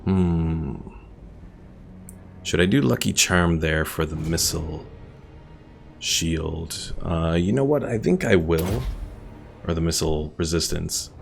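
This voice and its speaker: A man's voice: low-pitched, slow, gravelly, long pauses. A man giving casual live commentary as a game streamer, chatty and thinking aloud. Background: game music.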